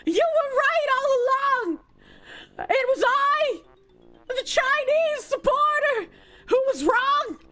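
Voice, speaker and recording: A repentant young woman in a mock melodrama. The voice is pitched very high and pleading, exaggerated in every phrase, with faint music behind. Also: lively intonation, slow pace, pushed and bright, full character voice. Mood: tearful, pleading. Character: sobbing, apologetic young woman begging forgiveness, parodied.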